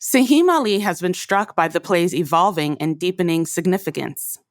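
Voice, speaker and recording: A woman's voice: fairly low pitch, lively intonation, moderate pace, no room sound, clean studio sound. A woman hosting and guiding a podcast episode with scripted narration. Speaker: host and guide of a podcast.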